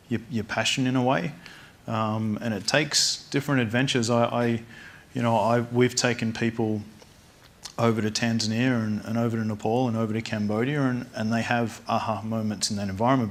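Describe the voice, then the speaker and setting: Panelist, male, lowish gravelly voice, earnest, faint room noise. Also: fairly bright tone. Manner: earnest, stammers on starts. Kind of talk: panel discussion.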